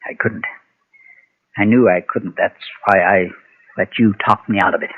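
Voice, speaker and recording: An actor playing a guilt-ridden former preacher and gunfighter, solemn and halting, in a Golden Age radio western. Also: male voice, fairly low pitch, fairly even intonation, husky. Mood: resigned. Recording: muffled, thin vintage radio recording.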